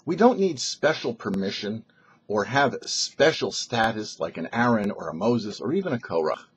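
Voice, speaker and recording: Husky, expressive rabbi man. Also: fairly low pitch, lo-fi sound. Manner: measured, explanatory, rhetorical questions. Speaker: rabbi, show host. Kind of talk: short religious teaching to camera.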